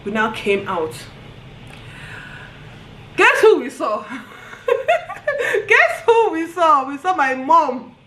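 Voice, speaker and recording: A woman with a Nigerian accent telling a story on her vlog in an animated way, often breaking into laughter. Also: sits high, very slow, long pauses. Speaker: vlogger. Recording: roomy echo, faint ambience.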